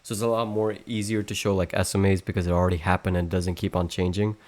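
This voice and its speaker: A young man hosting a live trading stream, explaining charts in a monotone, halting way with many restarts. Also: low voice. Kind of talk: casual live trading lesson.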